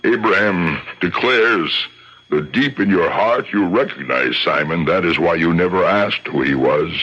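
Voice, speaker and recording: A solemn, forceful rabbi judge. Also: male voice, low-pitched, breathy edge, exaggerated voice. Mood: stern, judicial. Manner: solemn, measured, declamatory. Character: solemn, commanding rabbi judge conducting a tribunal and exorcism. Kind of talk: theatrical radio drama acting. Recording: dull lo-fi old radio recording.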